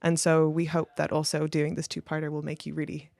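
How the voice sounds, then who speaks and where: A woman's voice: low-pitched, monotone, brisk, creaky, darkish tone, no echo. A woman hosting a podcast in a conversational introductory style. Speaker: podcast host.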